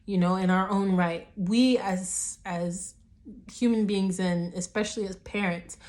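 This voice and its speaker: Slow, slightly raspy woman preaching. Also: mid-range voice, steady tone. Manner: soft, hushed delivery that builds to emphatic preaching. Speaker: minister. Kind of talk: devotional teaching.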